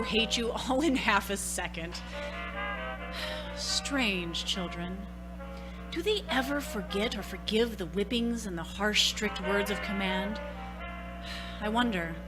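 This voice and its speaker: Radio-play housewife , female actor: anxious, hesitant, theatrical, unhurried, over a music bed. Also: mid-range voice, lively intonation, natural voice, poor audio. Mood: brooding. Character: anxious 1940s-style suburban housewife and mother. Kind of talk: stage radio-play acting.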